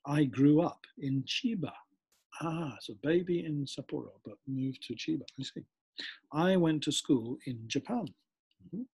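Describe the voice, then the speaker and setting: A middle-aged man from England, giving a cheerful, expressive online lesson from a home room over a webcam. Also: a little high, a little slow, breathy edge. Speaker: English teacher. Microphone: webcam on a computer.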